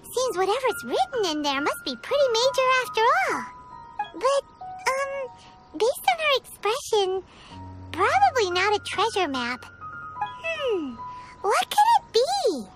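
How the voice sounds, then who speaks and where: Super high squeaky little fairy girl, bouncy, swings all over, game music behind. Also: very animated, unhurried, heavily acted. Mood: curious. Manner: talks of herself in the third person, bouncy. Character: tiny floating female fairy companion, excitable. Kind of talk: video game voice acting.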